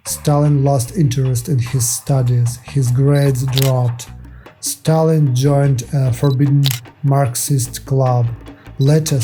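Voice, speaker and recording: A man reading a scripted documentary narration in a measured, even way, steadily working through facts and figures. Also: mid-range voice, low-quality recording.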